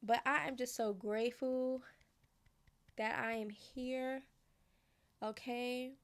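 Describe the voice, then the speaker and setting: A woman's voice: a little high, steady tone, takes it slowly. A woman in her thirties hosting casual gossip commentary, chatty and slangy, often checking in with her viewers. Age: 30s.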